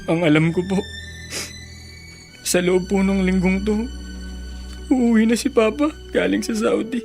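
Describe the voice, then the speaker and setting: Creaky-voiced, sorrowful grandson. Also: medium pitch, fairly even intonation, a little slow, a little mellow, faint music behind. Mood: ashamed, sorrowful. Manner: sorrowful, plaintive. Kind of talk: Filipino radio drama acting.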